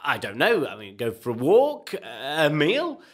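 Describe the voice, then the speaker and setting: Radio drama: male actor as eager, jittery recovering addict, halting and excitable, very animated intonation. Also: on the high side. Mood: deflated. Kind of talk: radio play acting.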